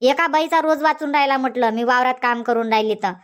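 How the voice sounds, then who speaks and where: A woman's voice: sits high, fast talker, clear, resonant tone, lightly acted. A woman voicing a nagging middle-aged village farm wife in a comic cartoon, scolding. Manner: scolding, insistent, long rants. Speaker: cartoon voice actor.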